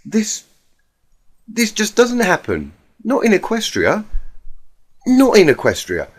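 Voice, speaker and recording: Worried young female unicorn, a man acting, high for the reader, urgent, stammering, storybook drama. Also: huge pitch swings, takes it slowly, exaggerated voice. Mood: disbelieving.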